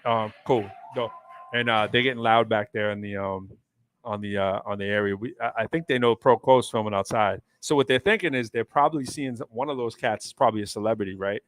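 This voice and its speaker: A man's voice: on the low side, fairly quick, a bit bright, dry recording. Rambling, chatty man hosting a live show. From United States East Coast. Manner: rambling storyteller with many fillers and restarts. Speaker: show host. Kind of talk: casual live-stream chat. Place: hotel area in New York City.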